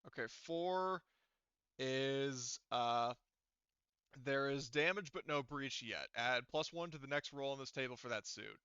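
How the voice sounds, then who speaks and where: Male game master, clear and bright, casual tabletop refereeing with loose swearing. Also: medium pitch, measured pace, dry recording, dull audio.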